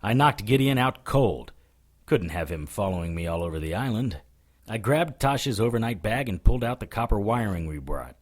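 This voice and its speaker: A man playing a hard-boiled occult detective in an audio drama, narrating and talking in a dry, sardonic way with a husky voice. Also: fairly low pitch, very animated, normal speed. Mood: grim, determined. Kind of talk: first-person noir narration and dialogue in audio drama.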